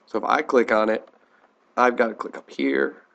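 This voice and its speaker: American male teacher, mid-pitched voice, plain instructional screencast narration, step by step. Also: steady tone, moderate pace, creaky, fairly bright tone, muffled recording. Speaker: teacher giving a software tutorial.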